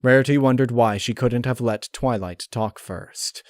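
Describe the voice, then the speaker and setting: A man reading a dark horror story aloud as a scripted narrator, close on the mic. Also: medium pitch, normal speed, no room sound.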